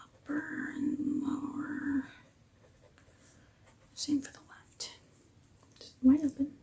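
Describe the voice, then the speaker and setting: A tired woman whispering softly through an ASMR face-measuring roleplay, yawning now and then and apologizing often. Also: high voice, flat delivery, slow. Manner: soft whispering, apologetic asides.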